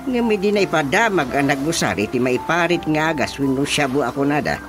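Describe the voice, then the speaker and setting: Man playing an excitable village chairman, swooping pitch, Ilocano. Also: fairly quick, over music, lightly acted. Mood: puzzled, mocking. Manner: exclaiming, scolding, theatrical. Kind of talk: Ilocano radio drama comedy acting.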